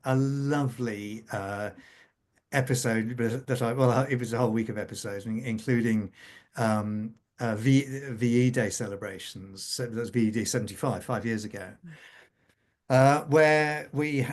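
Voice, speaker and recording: Guy writer chatting on a panel, mid pitched voice, stop-start, repeats his words a lot. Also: a little mellow. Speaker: scriptwriter on a panel. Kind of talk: unscripted panel conversation.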